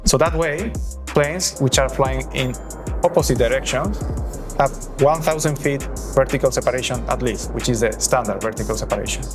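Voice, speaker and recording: This is a man with a Spanish accent and a mid-range voice, presenting an explainer. He narrates in an instructional, lightly scripted style. Music plays clearly behind him as he talks. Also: mid-range voice, normal speed. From Spain.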